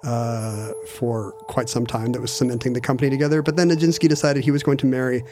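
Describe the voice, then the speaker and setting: A man's voice: medium pitch, little pitch movement, fast, noisy background. A man co-hosting a podcast, bantering and telling stories in a playful, joking way. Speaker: podcast co-host. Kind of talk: casual banter and storytelling.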